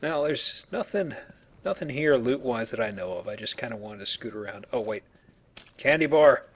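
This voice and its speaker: A man's voice: mid-range voice, expressive, normal speed, a little hiss or hum. A man giving casual, joking commentary on a video game as he plays and explains it. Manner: joking, colloquial. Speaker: video game let's player.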